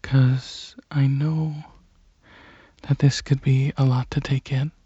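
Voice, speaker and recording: A soft-spoken ASMR performer playing a gentle, shy, caring alien dragon, talking hesitantly in a breathy half-whisper. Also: mid-range voice, level tone, slow pace, dry recording, highs cut off.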